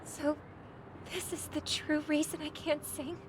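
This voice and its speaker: An actress playing a gentle, earnest young woman singer in a video game, sounding dismayed. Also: high-pitched, level tone, a little slow, rough recording. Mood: shocked, hurt. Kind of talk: scripted video game voice acting. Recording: clear background noise.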